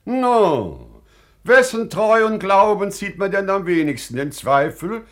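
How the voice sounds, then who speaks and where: Wise, humble parable-teller for classical radio drama: unhurried, deliberate delivery with widely swinging intonation. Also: male voice, high-pitched, big pitch swings, exaggerated voice. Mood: earnest, insistent. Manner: measured, deliberate, dramatic phrasing with weighty pauses. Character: wise, humble storyteller addressing a sultan with a parable. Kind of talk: classical German stage-style radio play acting.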